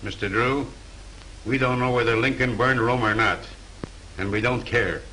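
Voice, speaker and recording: A man's voice: mid-range voice, fairly even intonation, moderate pace, bright, forceful tone, lightly acted, rough recording. A man playing a fretful, blustering movie producer. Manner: blustery, ungrammatical. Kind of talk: 1930s film acting. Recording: faint hiss of 1930s film soundtrack.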